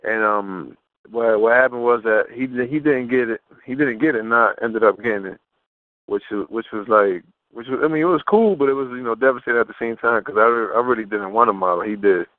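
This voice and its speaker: A man's voice: medium pitch, brisk, no room sound. Casual man on a muffled phone line. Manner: informal, slangy, repeats and rephrases himself. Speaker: interview guest, fashion model. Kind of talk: casual phone interview chat. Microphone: telephone.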